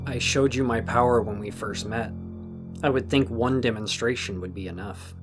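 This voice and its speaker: A man's voice: medium pitch, normal speed, close to an everyday voice. A man playing a stern, secretive enforcer in an audio drama, speaking with cold, condescending command. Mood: cold warning. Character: stern, secretive male enforcer of a mysterious patron. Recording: music under the voice.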